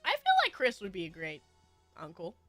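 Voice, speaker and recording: A woman's voice: lowish voice, wildly swooping pitch, moderate pace, fairly bright tone, dry recording, soft background music. A woman streamer with a stuffy nose, chatting casually with her viewers in a bubbly, rambling way with frequent giggles.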